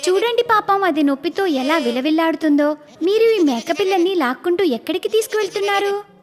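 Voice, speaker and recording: A woman's voice: very high-pitched, lively intonation, fairly quick. A woman voicing a kind, innocent little village girl in a cartoon moral story, earnest and pleading.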